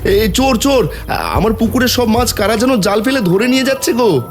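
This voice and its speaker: A man playing an honest, hard-working, soft-hearted villager who has been wronged, in an animated story. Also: very high-pitched, moderate pace, over music. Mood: alarmed.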